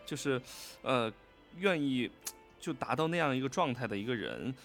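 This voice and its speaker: A man speaking as a voice actor being interviewed, in casual, unscripted and expressive conversation with emphatic delivery. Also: medium pitch, normal speed, faint music behind.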